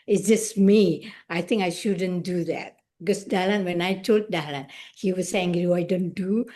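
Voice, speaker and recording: An older woman from Indonesia, whose first language is Indonesian, speaking haltingly and searching for words in an interview. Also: medium pitch, dark tone. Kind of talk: conversational interview.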